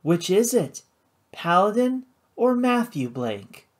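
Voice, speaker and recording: A man's voice: high voice, very animated, voice pushed up, heavily acted. A man voicing a poised, icy woman casino boss. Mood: icy, commanding. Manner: poised, measured, formal.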